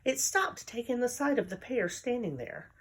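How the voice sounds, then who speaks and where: A woman reading a children's story she wrote, in a calm, measured way. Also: fairly high pitch, husky. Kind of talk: reading her own story aloud.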